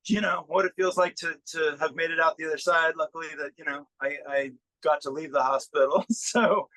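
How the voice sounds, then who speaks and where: Male interviewee, musician, bright forceful tone, dry close sound, casual, halting thinking-aloud delivery. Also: a little high, fairly even intonation, no room sound, rough recording. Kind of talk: casual interview chat about own work.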